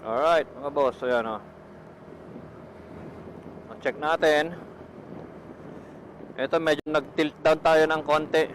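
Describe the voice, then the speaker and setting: A man's voice: on the high side, steady tone, slow pace, long pauses, poor audio. A Filipino man giving casual vlog commentary as he rides a motorcycle, speaking into a helmet intercom microphone. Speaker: motovlogger testing gear. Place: outdoors on a motorcycle on the road. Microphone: Bluetooth helmet intercom microphone. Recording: helmet intercom mic with wind, engine noise. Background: road and vehicle noise.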